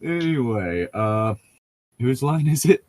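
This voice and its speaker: A man's voice: mid-range voice, slow. Rambling man in casual, sweary chat. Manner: rambling, profane, excitable storytelling with frequent laughter.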